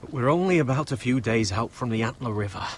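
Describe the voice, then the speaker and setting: A young wildling man voiced by an actor pleads in a mid-pitched, swooping voice. He is loyal and conciliatory, in dramatic game acting, with faint ambient noise. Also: lively intonation, fast, lightly acted. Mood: hopeful. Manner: conciliatory, coaxing.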